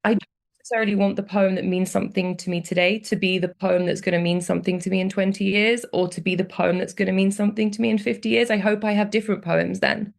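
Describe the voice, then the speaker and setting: A young woman with a British accent, reflective and animated as she tells stories in a podcast conversation. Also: medium pitch, quick pace, vocal fry. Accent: British English. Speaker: guest.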